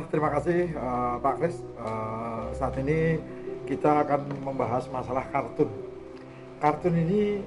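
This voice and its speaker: A man speaking as a guest in an informal talk, explaining things in a halting, measured way. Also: on the high side.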